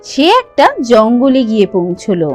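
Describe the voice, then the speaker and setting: A woman's voice: fairly high pitch, moderate pace, faint music behind. A woman narrating a scripted village cartoon story.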